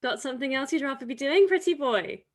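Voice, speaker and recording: A woman's voice: high voice, lively intonation, fast. An actress playing a brash, sarcastic woman with teasing swagger in a dramatic script read-through. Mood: mocking.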